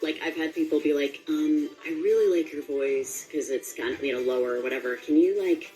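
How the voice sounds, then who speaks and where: A woman's voice: low voice, fairly quick, dark tone, soft background music, rough recording. A woman voice actor talking casually in an interview.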